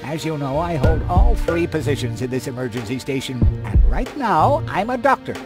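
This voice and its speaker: A pompous, grumpy male doctor from an adventure game, swooping wildly in a slightly high voice. He is officious and sarcastic, with music clearly behind him. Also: sing-song, normal speed. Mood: officious, mocking. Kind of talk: animated game dialogue.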